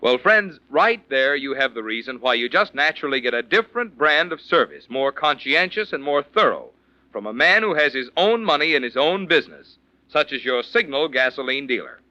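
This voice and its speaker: A man doing polished, emphatic radio announcing and sponsor pitches in a 1940s style. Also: expressive, moderate pace, pushed and bright, no echo. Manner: polished, emphatic sales delivery. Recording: dull, dry 1940s radio recording.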